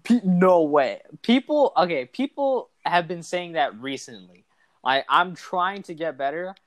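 A young man from Los Angeles hosting a podcast, chatting casually in an excitable, slangy way. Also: high-pitched, a little slow, no room sound. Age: young adult. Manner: excitable, slangy, says bro and dude a lot. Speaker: podcast host. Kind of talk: casual chat.

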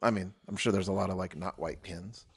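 A man's voice: sits low, a little fast, creaky, breathy edge. A young man co-hosting a podcast, telling loose, rambling stories in casual chat and swearing freely. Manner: loose, rambling, swears a lot, animated storytelling. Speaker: podcast co-host.

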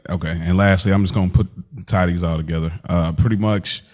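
Male podcast host, low-pitched, informal and halting, running through a recap conversationally. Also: steady tone, a little mellow. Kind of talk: casual, loosely structured recap.

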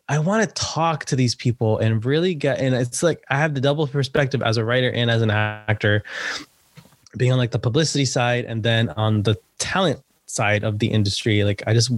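A man's voice: medium pitch, dry recording, studio quality. A young man being interviewed about his work as an actor and writer, chatty, laughing often and using many fillers. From United States, Salvadoran family. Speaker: podcast guest, actor and writer. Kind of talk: casual interview chat.

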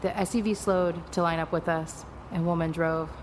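A woman's voice: lowish voice, fairly even intonation, normal speed, background noise, lightly acted. A woman playing an alien-fighting heroine who narrates an audio drama in the first person. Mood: tense, suspenseful. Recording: loud music bed behind the voice.

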